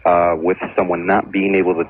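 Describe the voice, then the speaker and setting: A middle-aged man calling a radio show, talking politely in a measured, slightly hesitant way. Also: low voice, little pitch movement, slight background noise. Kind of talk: polite phone conversation. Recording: phone line on radio broadcast.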